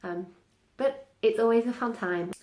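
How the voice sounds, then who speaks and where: A woman's voice: a little high, measured pace, a little mellow. Chatty German-native woman, roomy sound. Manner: chatty, many ums and restarts, light laughs. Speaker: book vlogger. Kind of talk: casual monthly reading recap.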